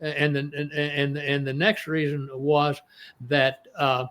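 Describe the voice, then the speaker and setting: A man's voice: on the high side, level tone, a little slow, fairly bright tone. A man in his eighties with an East Texas accent, an author telling stories in an interview, rambling with many restarts. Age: 80s. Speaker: author guest. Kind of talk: casual interview storytelling.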